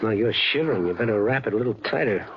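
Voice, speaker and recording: A man's voice: lowish voice, expressive, fast, muffled recording, slight background noise. An American actor playing a wry, flirtatious Chicago newspaperman who narrates his own case in a hard-boiled 1950s radio drama style. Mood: coaxing, flirtatious. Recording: band-limited old radio recording, prominent music.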